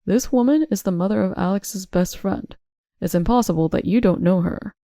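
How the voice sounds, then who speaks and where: A stern husband played by a woman confronts in a cold, resolute way. The tone is dark and mellow, and the delivery is firm and accusing. It is recorded dry, as for a narrated story. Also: mid-range voice, normal speed, dry recording, natural voice. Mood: scornful.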